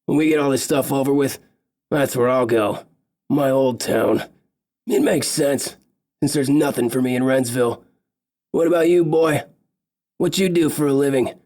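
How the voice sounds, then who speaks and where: A man's voice: mid-range voice, normal speed, long pauses, darkish tone, pitched above the performer's own voice, natural voice. A man voicing a cheerful, boisterous big male elf, a former lumberjack. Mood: jovial. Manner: jovial, boisterous.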